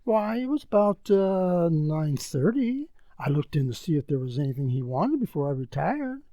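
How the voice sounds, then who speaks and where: A woman's voice: low-pitched, big pitch swings, moderate pace, warm tone, dry recording. A woman playing a deferential, hesitant household butler in a radio drama. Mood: anxious, deferential. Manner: meek, hesitant, respectful.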